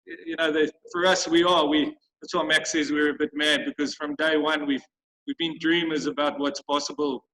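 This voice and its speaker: A man's voice: on the high side, little pitch movement, a bit bright, low-quality recording. A man speaking on a video call, full of restarts and repeated words as he thanks supporters and talks about his sports program. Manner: halting, many restarts. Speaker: school sports program organizer. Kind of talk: grateful casual video call chat.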